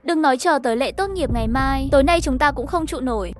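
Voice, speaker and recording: A woman's voice: high-pitched, quick pace, a little hiss or hum. A woman reading a scripted story, voicing the narration and all the dialogue herself. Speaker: narrator of an animated horror story recap.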